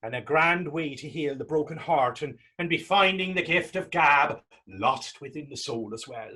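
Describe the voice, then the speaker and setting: A man playing Tommy, a talkative, gentle and wry Irish former fisherman, in staged readers theater dialogue with a folksy, halting storytelling lilt. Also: a little high. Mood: wistful, chatty.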